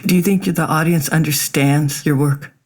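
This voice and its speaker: Interview host; soft-spoken, brief questions at a middle pitch. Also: fairly even intonation, normal speed.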